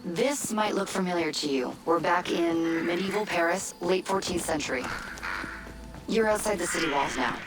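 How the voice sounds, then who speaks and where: An actor playing an urgent tech operative who guides a recruit in a video game, brisk and instructive. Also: male voice, sits high, lightly acted. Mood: reassuring, guiding. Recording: loud music behind.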